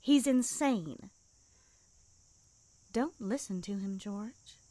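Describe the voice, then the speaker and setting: Ruthless henchwoman, audio drama, slow urging delivery, bit high, dry sound. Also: dark tone, no room sound. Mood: cold urging. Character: ruthless, possessive female henchwoman, eager to kill.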